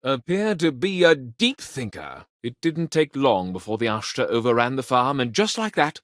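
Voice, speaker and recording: An actor voicing a folksy, proud city official of a frontier space colony in a video game, polite but firm and talkative. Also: male voice, mid-range voice, sing-song, moderate pace, a bit bright, no room sound, clean studio sound. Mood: genial, proud. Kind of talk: video game dialogue acting.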